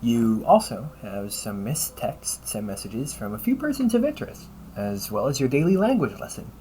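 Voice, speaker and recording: An upbeat male AI companion performed by a man for an audio roleplay. The voice sits at a middling pitch but moves around in a lively way. It is courteous, teasing and fussily helpful, with some noise in the amateur recording. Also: moderate pace, natural voice. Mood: helpful, chatty. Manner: polite, chirpy, gently nagging, butler-like phrasing. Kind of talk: scripted audio roleplay addressed to the listener.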